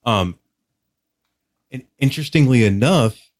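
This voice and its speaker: A man's voice: medium pitch, expressive, a little slow, dry recording, clean studio sound. A man from Texas chatting casually as a podcast guest, with a candid, wry, casually profane way of talking. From Texas, United States. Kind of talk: casual interview chat.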